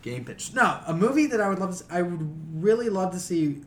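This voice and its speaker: A man's voice: high-pitched, sing-song, brisk, slight background noise. A man co-hosting a podcast in casual chat, opinionated, slangy and given to swearing. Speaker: podcast co-host.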